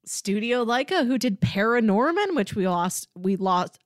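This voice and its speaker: Higher-voiced woman chatting on a podcast, dry sound. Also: big pitch swings, measured pace, dry recording. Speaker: podcast co-host. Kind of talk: casual pop culture chat.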